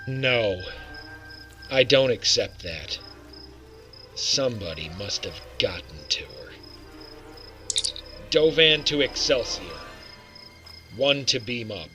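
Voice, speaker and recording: A man's voice: mid-range voice, sing-song, slow, pauses often, pushed and bright, faint music behind, heavily acted. An actor playing a determined male Starfleet acting captain, with firm, clipped officer's speech. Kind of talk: fan audio drama acting.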